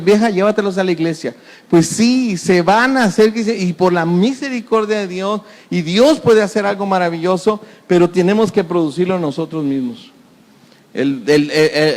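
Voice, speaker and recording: Reverberant, high-voiced pastor preaching. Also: male voice. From Mexico. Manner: conversational, with frequent repetitions and folksy sayings. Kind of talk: sermon. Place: church hall. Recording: reverberant church hall.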